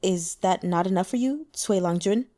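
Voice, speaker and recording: A woman's voice: on the low side, normal speed, dark tone, lightly acted. A woman voicing a proud foreign prince in an audiobook, speaking in a formal, stately manner. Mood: displeased, insistent.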